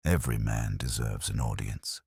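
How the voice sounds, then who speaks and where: A man voicing a dry, unhurried police inspector in a detective story, speaking in measured, gently ironic aphorisms. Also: extremely low pitch, steady tone, husky, dry recording, pitched below the performer's own voice, heavily acted.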